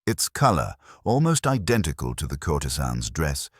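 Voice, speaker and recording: A man reading a scripted mystery story in a measured, formal storytelling manner. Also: extremely low pitch, huge pitch swings, slightly rough, dry recording, studio quality. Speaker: audiobook narrator.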